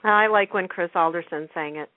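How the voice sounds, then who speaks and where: A woman's voice: lowish voice, moderate pace, no room sound. A woman hosting a casual talk radio show, chatting informally. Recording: narrow phone-like internet radio call.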